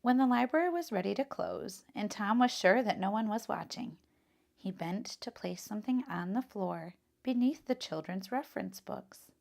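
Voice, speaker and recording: A woman reading a children's picture book aloud as a teacher, in an expressive, instructive style. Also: mid-range voice, normal speed, gravelly.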